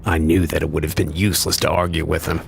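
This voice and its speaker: A male actor playing a concerned, skeptical gentleman who also narrates a horror radio play in a measured, formal way. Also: a bass voice, flat delivery, creaky, soft background music, heavily acted. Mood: somber narration.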